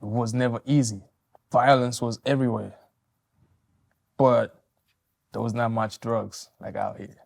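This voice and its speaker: A man in his twenties from Tanzania, answering interview questions politely, softly and with loose, hesitant phrasing. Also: mid-range voice, fairly even intonation, a little slow, vocal fry, long pauses. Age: 20s.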